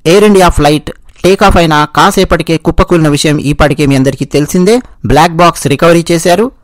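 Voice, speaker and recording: A man's voice: a little high, fast, no room sound. A man with a Telugu accent giving explanatory news commentary, restating and rephrasing his points as he goes.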